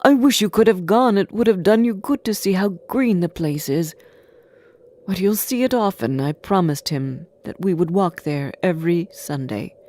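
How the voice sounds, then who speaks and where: A woman voicing a gentle, grieving poor father in a storybook, with a tender, emotional delivery. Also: on the low side, normal speed, natural voice. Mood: tender, wistful. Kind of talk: storybook character voice.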